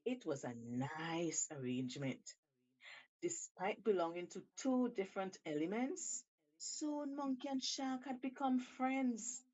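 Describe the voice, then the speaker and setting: Woman reading a story, slow n animated, bit of a dull lo-fi recording. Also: mid-range voice. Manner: deliberate, theatrical emphasis. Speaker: storyteller, show host. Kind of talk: expressive scripted storytelling.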